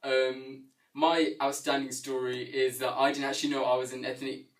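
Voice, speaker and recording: Young male student, calm and matter-of-fact, recorded slightly off-mic. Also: medium pitch, moderate pace, distant mic, poor audio. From England. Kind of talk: casual testimonial.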